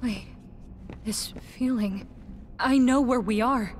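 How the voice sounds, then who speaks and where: A woman playing a pensive young adventurer in a video game, speaking in a hushed, wondering manner. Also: on the high side, takes it slowly, slight background noise. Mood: curious wonder. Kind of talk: video game cutscene acting.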